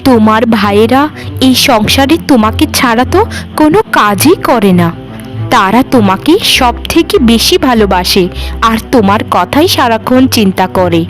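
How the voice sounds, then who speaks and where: Meek high-voiced young village housewife, played by a woman. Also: animated, normal speed, natural voice. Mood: placating. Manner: placating, pleading, earnest. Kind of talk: village moral-story cartoon acting. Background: background music.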